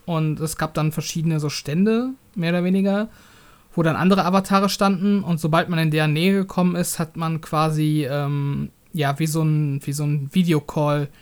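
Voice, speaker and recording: A young man co-hosts a relaxed podcast chat. His voice sits a little higher than most men's. He hesitates often, filling gaps with ums as he works out his thoughts. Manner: hesitant, many ums and restarts. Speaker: podcast co-host.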